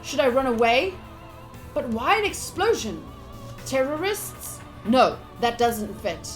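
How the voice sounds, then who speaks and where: A woman with a high voice plays through a visual novel on a live stream. She reads the text aloud with some drama, then slips into chatty asides to her viewers. Soft music plays underneath. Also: very animated, slow pace. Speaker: game streamer. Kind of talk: live visual novel playthrough, reading aloud and commenting to chat.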